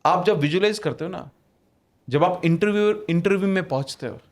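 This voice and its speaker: A man's voice: high voice, moderate pace. A man on a podcast as a guest author, explaining ideas emphatically with rhetorical questions and English phrases mixed into his talk.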